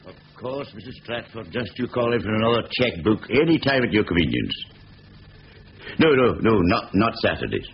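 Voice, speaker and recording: A man's voice: on the low side, sing-song, normal speed. A man acting a fussy, pedantic middle-aged bank manager in a 1970s radio drama, officious and given to repeating his phrases. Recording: dull, faintly noisy 1970s radio recording.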